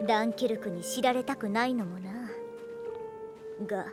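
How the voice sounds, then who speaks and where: A woman's voice: mid-range voice, normal speed, close to an everyday voice. A woman voicing a shrewd, self-important girlish sage who talks in archaic elder speech, in a video game. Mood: shrewd, smug. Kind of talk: Japanese video game voice acting. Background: loud background music.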